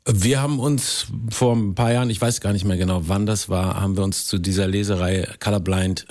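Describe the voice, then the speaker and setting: Deep, dry-sounding German man chatting. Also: fairly even intonation, brisk, a little mellow, no echo. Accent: German, native. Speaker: actor, interview guest. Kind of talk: casual radio interview. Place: radio studio.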